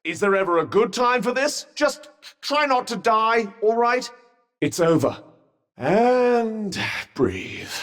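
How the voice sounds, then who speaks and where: A man's voice: high voice, in a large hall. An actor playing a dry-witted, sardonic male magic bracelet in a video game, speaking with posh understatement. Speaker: companion character. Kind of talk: game voice lines.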